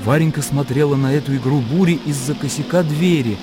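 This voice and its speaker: A man's voice: fairly high pitch, fairly quick, over music. A man narrating a literary radio drama in a dramatic storytelling style.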